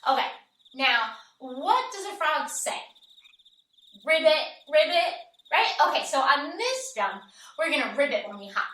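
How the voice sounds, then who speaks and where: High, animated woman hosting kids' story time. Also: a little slow, slight background noise. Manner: encouraging, sing-song, simple instructions with call and repeat. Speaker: children's yoga and story time host. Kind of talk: interactive read-aloud and movement lesson for young children. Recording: roomy echo, distant mic.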